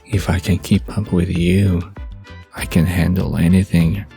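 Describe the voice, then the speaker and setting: A man's voice: low voice, level tone, a little slow, vocal fry, warm tone, soft background music. A man playing a smug, playful flirt with a theatrical, murmuring delivery in an audio drama. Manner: theatrical, murmuring, self-satisfied.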